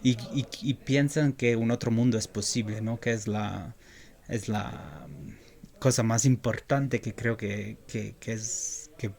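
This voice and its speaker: Italian man speaking halting Spanish. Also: fairly low pitch, fairly even intonation, creaky, darkish tone. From Italy. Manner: halting, many repeated words and fillers. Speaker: interview guest, graduate researcher. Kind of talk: casual interview answers.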